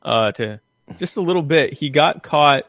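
A man hosting a casual podcast, talking in a wry, jokey and halting way. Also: fairly high pitch, expressive, a bit bright, no room sound, clean studio sound. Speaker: podcast host. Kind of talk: casual conversational podcast chat.

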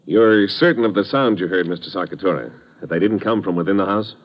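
An actor playing a steady, practical army major with laconic delivery in old radio drama style. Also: male voice, deep voice, fairly quick, close to an everyday voice. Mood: thoughtful. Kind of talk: 1950s radio drama acting. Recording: muffled, faintly noisy old radio recording.

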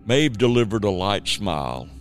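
A man's voice: fairly low pitch, sing-song, moderate pace, faint music behind. A man narrating a scripted radio drama as its storyteller.

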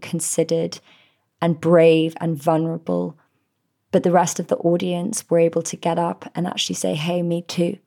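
Woman, 30s, podcast host, mellow calm voice with some gravel. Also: fairly low pitch. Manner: gentle, soothing, heartfelt. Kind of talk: reflective solo podcast address.

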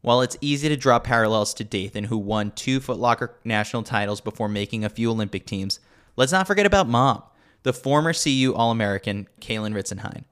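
A man's voice: mid-range voice, expressive, brisk, a bit bright. A man reading a scripted sports newsletter as a podcast host, with a wry, tongue-in-cheek manner.

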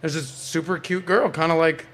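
A man's voice: a little high, normal speed, a little hiss or hum. A man with a Canadian accent hosting a casual podcast panel, telling stories with playful teasing and frequent swearing. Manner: animated storytelling, swears freely, playful teasing. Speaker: podcast host.

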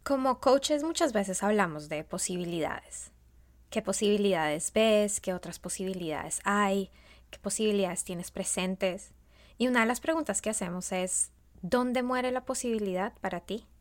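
A woman's voice: medium pitch, normal speed. Colombian woman, leadership coach and podcast host; scripted, reflective monologue with questions to the listener. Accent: Colombian Spanish.

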